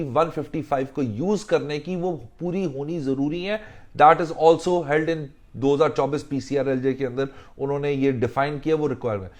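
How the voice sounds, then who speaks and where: A man explaining legal rules in a deliberate way, mixing in English legal phrases. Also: fairly high pitch. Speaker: legal instructor.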